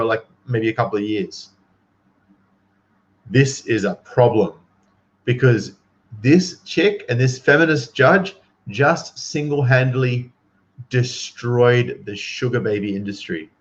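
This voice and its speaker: A man's voice: medium pitch, dull audio. A man giving casual, opinionated commentary to livestream viewers in an incredulous, emphatic, slangy manner.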